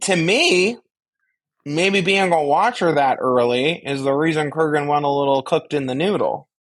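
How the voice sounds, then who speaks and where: A man's voice: a little high, moderate pace, a bit bright. A man co-hosting a casual fan podcast, speaking in an opinionated, analytical way with casual swearing. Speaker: podcast co-host discussing a TV franchise.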